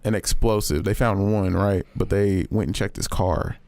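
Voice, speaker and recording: A man with a fairly low voice and plenty of vocal fry. He talks casually, as a co-host in a group discussion. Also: steady tone, normal speed, a little mellow, no room sound.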